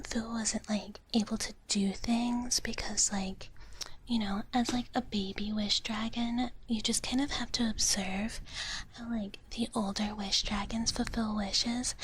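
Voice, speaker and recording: A woman whispers close to the microphone in a breathy, fairly high voice. She chats gently and playfully with her livestream viewers in ASMR style, with clear noise behind her. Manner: gentle, playful, speaks of herself in third person as a dragon big sister character. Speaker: livestream host.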